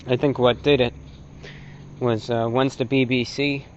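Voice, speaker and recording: A man's voice: mid-range voice, little pitch movement, a little hiss or hum. American man lecturing, dull lo-fi sound. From North America. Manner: halting, emphatic, repeats short phrases for effect. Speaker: presenter, shortwave radio enthusiast. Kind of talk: unscripted opinionated lecture to camera.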